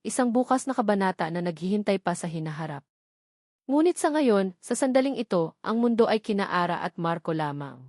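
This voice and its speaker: A woman's voice: medium pitch, darkish tone, no echo. Woman storyteller, earnest and close-miked. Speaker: storyteller and narrator. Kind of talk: scripted dramatic narration of a love story.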